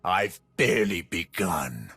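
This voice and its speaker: Very deep, husky, grim Sith warrior. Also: male voice, sing-song, pushed and bright, faint music behind, full character voice. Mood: menacing. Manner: slow, menacing, formal. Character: grim, ancient male Sith warrior, contemptuous and ruthless. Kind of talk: dramatic video game voice acting.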